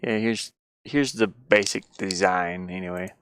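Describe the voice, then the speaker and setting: A man chatting casually on a livestream, rambling and halting, often putting down his own efforts. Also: low voice, steady tone, a bit bright, slight background noise. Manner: halting, rambling, self-deprecating. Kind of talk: casual livestream chat while sketching.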